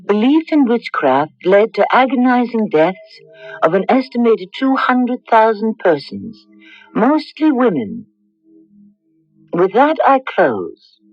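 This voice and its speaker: A woman hosting a radio drama, narrating a script in a measured, formal manner. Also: on the high side, sing-song. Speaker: host and narrator. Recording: dull lo-fi radio recording over music. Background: music.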